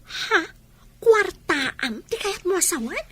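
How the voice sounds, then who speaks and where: An actress playing a doubtful, exasperated young friend in a radio drama, questioning everything in an Ilocano Filipino accent. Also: female voice, very high-pitched, big pitch swings, slow pace, full character voice. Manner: questioning, incredulous.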